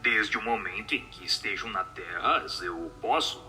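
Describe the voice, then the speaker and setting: A man's voice: lowish voice, normal speed, sharp tone, soft background music. A man voicing a solemn, assured spirit who answers questions in a radio drama.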